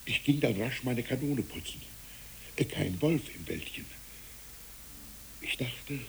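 A man playing a troubled, gentle old village pastor in a German radio play, hesitant and brooding, moving between murmur and declamation. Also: low voice, a little hiss or hum, full character voice, rough recording.

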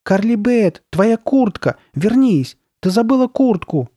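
A man's voice: fairly high pitch, very animated, normal speed, mellow, slightly rough, no room sound, clean studio sound, voice pushed up. A man voicing a worried, careful girl who coaxes and soothes with growing unease, in a horror storybook. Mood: alarmed, pleading. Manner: coaxing, puzzled, growing fearful. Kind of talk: dramatised horror storybook reading.

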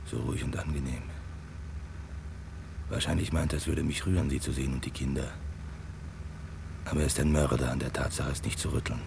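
A very deep, creaky male voice broods through a halting inner monologue as a tormented man in an old radio crime play. He mutters to himself as he wrestles with his conscience, with vehicle noise clearly behind him. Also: fairly even intonation, heavily acted. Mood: brooding, resigned. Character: brooding, tormented man agonizing over reporting a murderer. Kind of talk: vintage German radio crime play acting.

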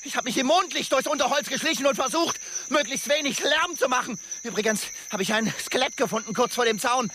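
A man's voice: extremely high pitch, fairly quick, hardly any pauses, bright, forceful tone. An actor playing a tense, defiant man in a jungle outpost in a radio drama, talking tersely and conversationally. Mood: wary, defiant. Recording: faint jungle insect noise behind.

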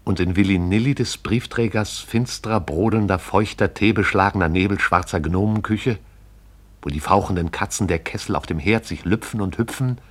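A man's voice: low voice, moderate pace. A man narrating a radio play in a poetic, rhythmic recitation.

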